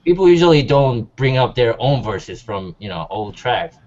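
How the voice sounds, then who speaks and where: A man's voice: medium pitch, big pitch swings, moderate pace, a crowd in the background. A man co-hosting a music panel, speaking in an informal, conversational way. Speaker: co-host. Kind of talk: casual panel chat. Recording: dull audio with clear background noise.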